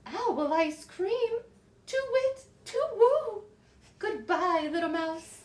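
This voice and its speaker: A woman's voice: very high voice, very animated, takes it slowly, dark tone, echoey room, voice pushed up, exaggerated voice. Woman doing a hooting storybook owl. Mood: lofty. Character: proud male owl in a tree.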